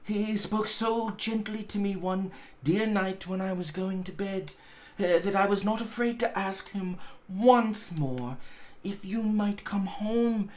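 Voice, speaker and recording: A man voicing a loving, excited little girl in a storybook reading, gleeful and eager. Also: high voice, slow pace, voice pushed up. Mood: brimful of glee.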